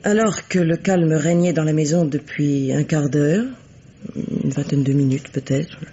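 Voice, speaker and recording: An actress playing a composed, coolly bitter middle-aged widow in a French radio mystery play, speaking formally and deliberately. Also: female voice, low-pitched, fairly even intonation, dark tone, breathy edge. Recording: dull, lo-fi vintage radio recording.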